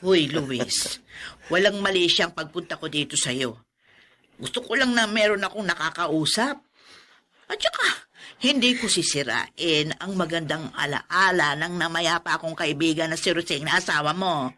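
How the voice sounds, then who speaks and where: A woman's voice: low voice, animated, moderate pace, bright, forceful tone. A woman acting a fussy, middle-aged aunt in a radio drama, exclaiming and nagging in a melodramatic way. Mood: mildly indignant. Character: scolding older aunt, fussy and quick to complain.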